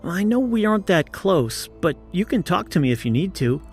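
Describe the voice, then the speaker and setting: An actor playing a concerned male office colleague, gentle and tentative, in audio drama dialogue. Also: sits high, sing-song, normal speed, soft background music.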